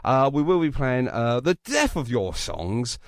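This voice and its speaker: A man hosts a casual radio interview with a very animated, varied pitch. He rambles and jokes his way along. The sound is dry and close, with little room to it. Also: a little slow, no echo. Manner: jokey, rambling. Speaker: radio show host interviewing a musician.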